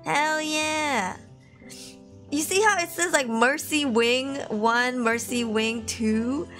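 A woman's voice: high-pitched, slow pace, a bit bright, faint music behind. A young woman chatting casually with livestream viewers, bubbly and giggly, her voice tired and sniffly. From Malaysia. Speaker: VTuber streamer. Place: home room.

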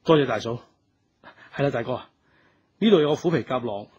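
An actor playing a devoted young hero in a radio drama, speaking earnestly and emotionally with a Hong Kong Cantonese accent. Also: male voice, mid-range voice, expressive, fairly quick, darkish tone, slightly rough, muffled recording. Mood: solemn.

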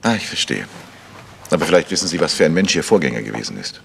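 A man's voice: very deep voice, very animated, quick pace, vocal fry, a bit bright, a little hiss or hum, exaggerated voice. An actor playing a composed male amateur detective in a German radio crime drama, asking probing, matter-of-fact questions. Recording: long reverberant hall.

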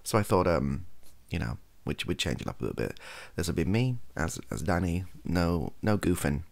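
A young man chatting softly in a rambling, self-deprecating ASMR style, hesitant and husky, close to the microphone. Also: low-pitched, lively intonation, moderate pace. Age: young adult. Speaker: ASMR video creator. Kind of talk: softly spoken ASMR chat. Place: small bedroom at a desk.

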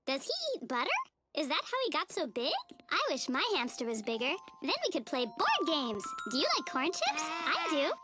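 A woman voicing a naive, sweet, relentlessly cheerful little schoolgirl in a TV cartoon, with a childlike squeaky voice and a gushing, singsong, oblivious manner. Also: big pitch swings, moderate pace. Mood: chatty, sweet. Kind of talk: animated TV cartoon acting. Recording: music playing behind the voice.

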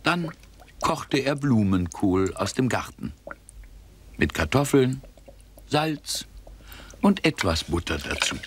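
A man narrating a children's storybook tale in simple, measured sentences. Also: mid-range voice, very animated, breathy edge. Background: loud music.

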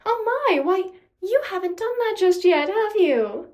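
A woman's voice: very high-pitched, animated, a little mellow, pitched above the performer's own voice. A woman voicing a smug female master thief who purrs and draws out her teasing in a story. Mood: taunting, triumphant. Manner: purring, drawn-out mockery. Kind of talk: acted audio fiction narration.

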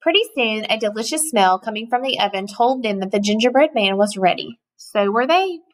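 A woman with a fairly high voice reads a children's story aloud. Her delivery is expressive and rhythmic, recorded in a small room at arm's length from the device. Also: brisk. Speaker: storybook reader. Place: small indoor room with cupboards.

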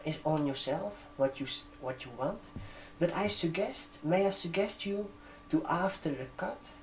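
A man in his twenties with a non-native English accent, speaking gently and haltingly in an ASMR roleplay as a barber shop receptionist. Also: a little high, a little hiss or hum, poor audio. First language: not English. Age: 20s. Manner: gentle, halting, drawn-out phrasing with check-in questions. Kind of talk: ASMR roleplay, soft customer-service talk. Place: indoor room, seated at a desk with a laptop. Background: computer keyboard typing.

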